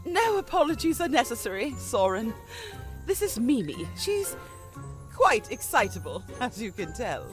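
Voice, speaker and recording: A woman playing a gentle, kindly fairy guardian in an audio drama, courteous and reassuring. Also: on the high side, big pitch swings, unhurried, soft background music. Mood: cheerful, gracious.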